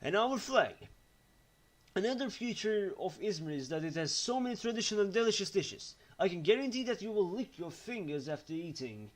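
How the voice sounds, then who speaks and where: A man's voice: high-pitched, animated, brisk. A young man with a Turkish accent presenting his home city in a scripted video. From Turkey. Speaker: student presenter.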